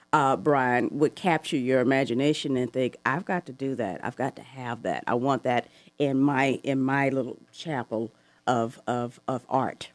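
A woman hosting a call-in radio talk show, asking long, thoughtful questions with soft laughs. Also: rumbling low, dry recording. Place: radio studio.

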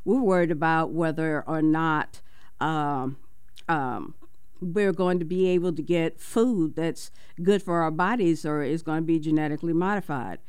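Co-host on talk radio, smooth clear voice, dry close mic, earnest. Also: mid-range voice, unhurried, no echo. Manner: earnest, impassioned, hesitant at times. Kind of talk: community radio talk show.

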